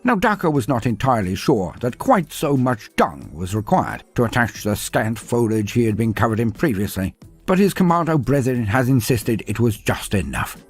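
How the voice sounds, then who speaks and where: Theatrical male storyteller, very varied pitch. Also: sing-song, moderate pace, slightly rough, faint music behind. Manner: theatrical, florid and whimsical. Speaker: narrator of fantasy lore stories. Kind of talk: dramatic scripted storytelling.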